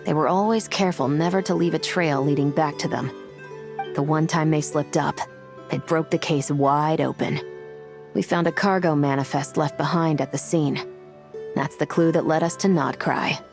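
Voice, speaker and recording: A cool, resolute female investigator with a low voice. Her delivery is measured and serious, game-drama style, with music clearly playing behind her. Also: steady tone. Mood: analytical. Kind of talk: video game voice acting.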